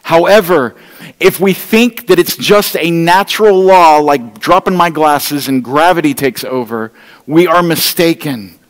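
A middle-aged man preaches to his congregation in an earnest, pleading tone, his voice sitting a bit high for a man. The sound carries the long reverb of a church hall. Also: unhurried. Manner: walks the stage holding an open Bible. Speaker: pastor. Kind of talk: sermon. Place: church stage, large room indoors.

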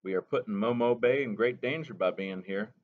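A man voicing an earnest, protective young soldier who talks quietly. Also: fairly low pitch, a little fast, slight background noise, lightly acted. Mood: worried. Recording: dull lo-fi recording.

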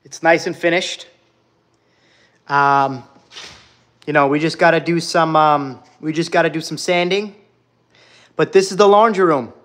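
A man's voice: on the high side, fairly even intonation, measured pace, long pauses, a bit bright. A young man from Hungary hosting a casual livestream, chatting with viewers and reading out their comments, filmed on a handheld phone in a house under renovation. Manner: chatty, reads viewer comments aloud, says guys often. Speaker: livestream host. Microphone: phone held at arm's length.

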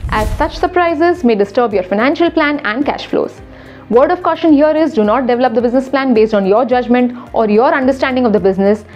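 A woman's voice: high voice, darkish tone, highs cut off. A young woman, a retail consultant presenting a scripted explainer to camera in a studio, instructive and emphatic. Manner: brisk, instructive, emphatic with hand gestures.

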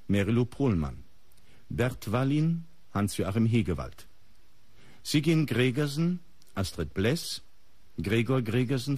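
A man with a husky voice reading radio drama credits as a formal, deliberate announcer. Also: mid-range voice, darkish tone, no echo.

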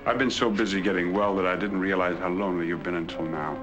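Actor as a possessive older husband, low earnest voice, music under, old film track. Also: male voice, steady tone, fast talker, dull audio, close to an everyday voice. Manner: earnest, persuasive. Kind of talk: 1940s film acting. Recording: 1940s film soundtrack with music.

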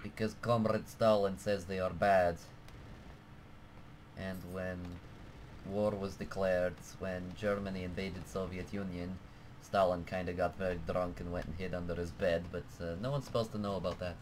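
A man commentating his flight simulator game in a mock Russian accent, joking and rambling between bursts of excitement. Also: sits low, normal speed, a little hiss or hum, low-quality recording. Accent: put-on Russian accent. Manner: jokey, excitable, bursts of alarmed shouting. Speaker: gameplay commentator. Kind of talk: casual let's-play commentary.

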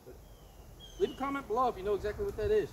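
A man's voice: high-pitched, very fast, noisy background. A middle-aged man hosting a casual outdoor vlog, talking plainly to viewers from wooded rural land, recorded on a phone camera. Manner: chatty, plainspoken, asks viewers to comment. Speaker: channel host.

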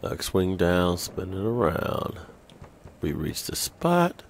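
A man's voice: sits low, big pitch swings, takes it slowly, slight background noise. A tired man giving casual live commentary on a game, slangy and sweary, muttering to himself and his chat. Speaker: video game streamer.

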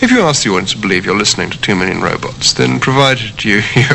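A man's voice: on the low side, expressive, fast, gravelly, pushed and bright, a little hiss or hum, poor audio. A man explaining how radio production works.

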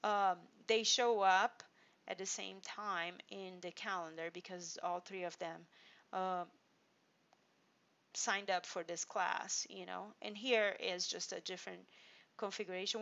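Brazilian woman walking through software. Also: medium pitch, steady tone, vocal fry, smooth, dull audio. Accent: Brazilian Portuguese. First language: Portuguese. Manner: unhurried, many fillers and restarts. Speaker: software company presenter. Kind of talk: screen-share software walkthrough.

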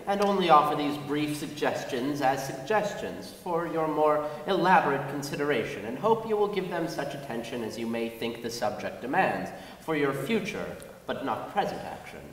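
A man with a slightly high, very animated voice reads a formal address. He sounds distant in a reverberant hall with faint noise. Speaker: reader in a staged reading. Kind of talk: dramatic reading of a formal address. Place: large concert hall stage before an audience.

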